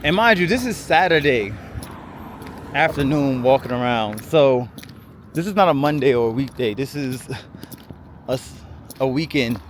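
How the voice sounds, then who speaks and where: A man filming a casual travel vlog while walking outdoors through quiet city streets, rambling chattily with lots of "like" and "um". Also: medium pitch, expressive, moderate pace, long pauses. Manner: rambling, chatty, many "like" and "um" fillers. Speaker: travel vlogger. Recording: outdoor street noise and traffic.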